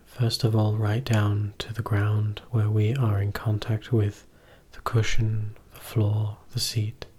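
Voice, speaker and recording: Male meditation teacher, husky voice, even and unvarying pitch, gentle short phrases, long pauses. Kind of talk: guided meditation.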